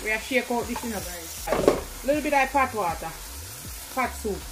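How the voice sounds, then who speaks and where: A woman's voice: fairly high pitch, animated, normal speed. A woman with a Jamaican accent, casually talking viewers through cooking in her home kitchen, calling out to them often. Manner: chatty, keeps calling to viewers. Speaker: cooking vlogger. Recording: sizzling pan and kitchen noise behind.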